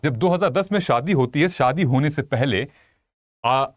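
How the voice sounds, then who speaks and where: A man's voice: mid-range voice, lively intonation, moderate pace, no room sound. A man giving an informal explainer to viewers as a coach and host, telling it conversationally with frequent check-in tags. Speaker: UPSC exam coach and video host.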